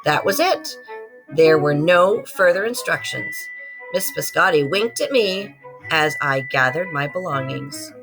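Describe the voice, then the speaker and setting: A woman's voice: low voice, a little slow, low-quality recording. A woman reads a children's picture book aloud to students, expressively acting out the story. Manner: animated, acts out the story. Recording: loud music behind the voice. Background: musical soundscape.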